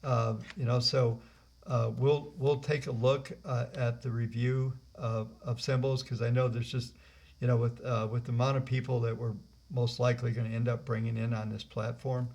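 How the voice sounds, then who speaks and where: A man hosting and leading a live software training webinar over a video call, rambling with many fillers and restarts. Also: mid-range voice, level tone, moderate pace. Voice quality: gravelly. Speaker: host and trainer. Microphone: computer mic on a video call.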